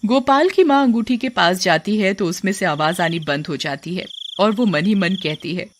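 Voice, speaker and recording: A woman's voice: medium pitch, lively intonation, normal speed. A woman working as narrator, reading a scripted children's story.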